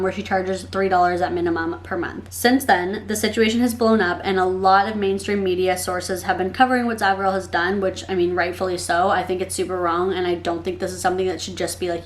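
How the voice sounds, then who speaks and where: A young adult woman giving casual opinion commentary, with a nasal, slightly congested voice and frequent "like" fillers. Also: medium pitch. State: getting sick, a little congested. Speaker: YouTube commentator.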